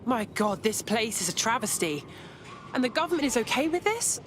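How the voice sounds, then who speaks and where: Game role: inquisitive female operative, fairly high voice, very animated, earnest and indignant. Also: normal speed, noisy background. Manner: earnest, expressive. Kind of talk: video game cutscene acting.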